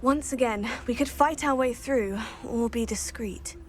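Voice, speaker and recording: An actress playing a brave, level-headed young student witch in a video game, with a composed, measured delivery. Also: a little high, a little hiss or hum, lightly acted. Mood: focused, cautious. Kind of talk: video game character dialogue.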